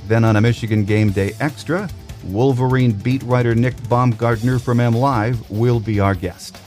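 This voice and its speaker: A man's voice: mid-range voice, fairly even intonation, moderate pace, a little mellow, soft background music. A man hosting a sports podcast, presenting scripted news and introducing interviews. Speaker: podcast host.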